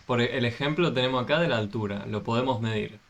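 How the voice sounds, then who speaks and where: A man's voice: mid-range voice, fast talker, vocal fry. A man tutoring a math class over a video call, patiently explaining and often checking with questions.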